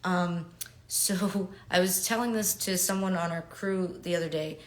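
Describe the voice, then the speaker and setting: A woman from New York in her thirties, chatting casually and upbeat with fans during a livestreamed autograph signing, with lots of fillers and quiet murmurs while she writes. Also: fairly low pitch, steady tone, unhurried.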